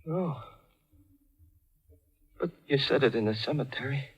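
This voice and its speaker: An American actor playing a frightened, swaggering small-time crook in a 1940s radio thriller, talking in jittery tough slang. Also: male voice, mid-range voice, unhurried, breathy edge. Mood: pleading, despairing. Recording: vintage 1940s radio recording, music underneath.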